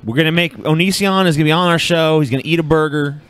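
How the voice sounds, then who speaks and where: A man's voice: a little high, fast talker, fairly bright tone. A man co-hosting a podcast in casual chat, sardonic and foul-mouthed. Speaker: podcast co-host.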